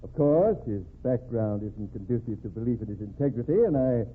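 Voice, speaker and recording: An actor playing a pompous, defensive state governor in an old-time radio drama, given to speechifying. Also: male voice, mid-range voice, brisk, a little hiss or hum, close to an everyday voice. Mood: regretful. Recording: narrow, tinny vintage radio recording.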